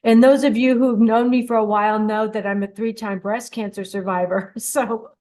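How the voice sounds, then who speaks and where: Chatty encouraging older woman radio host. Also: mid-range voice. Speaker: host of a dream radio show. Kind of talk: casual solo radio talk.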